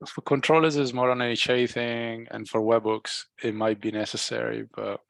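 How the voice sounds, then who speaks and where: A man in a casual technical work discussion, thinking aloud haltingly and often repeating words as he reasons. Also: mid-range voice, flat delivery, vocal fry, dry recording. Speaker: software engineer in a team meeting. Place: work call, close dry sound.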